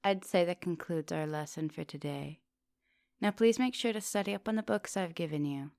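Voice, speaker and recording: A woman playing a coaxing, soft-dominant vampire professor in an intimate ASMR roleplay, speaking with polite, formal phrasing. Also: fairly low pitch, normal speed, vocal fry, no room sound, studio quality. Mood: brisk, stern. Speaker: teacher.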